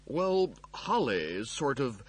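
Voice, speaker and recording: A man's voice: medium pitch, big pitch swings, slow pace, slightly rough, voice pushed up, heavily acted. A man voicing a male android servant in an audiobook, overly soothing and fussy while hiding panic. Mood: soothing, suppressed panic. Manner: overly soothing, euphemistic reassurance. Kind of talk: audiobook character voice.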